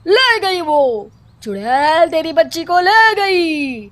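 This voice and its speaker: An ominous cartoon holy man performed by a woman gives dire warnings. The voice is high and dramatic, with an accusing edge. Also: big pitch swings, takes it slowly, fairly bright tone, exaggerated voice. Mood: alarmed, accusing.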